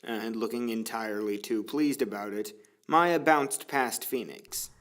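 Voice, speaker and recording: A man's voice: on the low side, a little slow, fairly bright tone. A man reading scripted story narration for an audio drama. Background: faint music.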